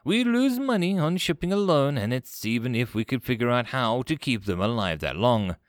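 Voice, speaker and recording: A man's voice: mid-range voice, big pitch swings, moderate pace, dry recording, clean studio sound, natural voice. A man voicing a practical alien first officer in a sci-fi story, polite to his superior but dryly pointed. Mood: firm, practical. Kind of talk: sci-fi audio story character.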